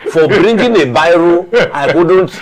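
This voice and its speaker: Male Nigerian panellist, slightly high voice, opinionated and emphatic, argues through anecdote. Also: lively intonation, a little slow, crowd noise. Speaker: panel guest.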